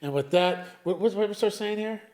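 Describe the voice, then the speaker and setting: A man's voice: high voice, moderate pace, darkish tone. A man hosting a podcast in casual chat, emphatic and repeating key words. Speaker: podcast host.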